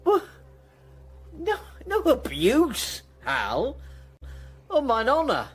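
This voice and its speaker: An older actor playing a fat, boastful, roguish old knight in a Shakespearean radio drama, blustering and halting as he wheedles. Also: extremely high pitch, wildly swooping pitch, a bit bright, breathy edge, full character voice. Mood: wheedling excuses. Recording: music behind the voice.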